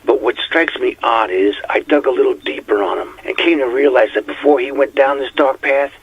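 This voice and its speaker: A husky-voiced, world-weary detective near retirement , played by a man, confides into his recorded journal for an audio drama. His manner is reflective and haunted. The sound is close, dry and slightly dull. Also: mid-range voice, normal speed, dry recording. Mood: grim, investigative.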